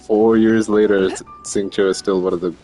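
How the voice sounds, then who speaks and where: A man's voice: on the low side, flat delivery, normal speed, mellow. A man streaming a game with casual, rambling, slangy commentary. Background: faint game music.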